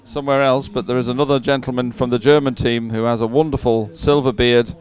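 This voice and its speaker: A man's voice: medium pitch, steady tone, brisk. A man commentating live on a sporting event and reading out results in a chatty, ad-libbed way.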